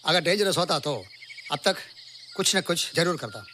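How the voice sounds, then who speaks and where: A bright, high-pitched scientist. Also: male voice, lively intonation, slight background noise. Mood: serious. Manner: polite, measured. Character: earnest space research scientist. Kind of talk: Hindi film drama dialogue.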